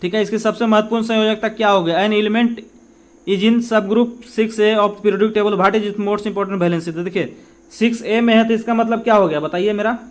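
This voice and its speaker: A man's voice: sits high, steady tone, moderate pace. A man giving an informal exam coaching lesson, talking conversationally, asking and answering his own questions and mixing in English. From India. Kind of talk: informal lesson working through practice questions.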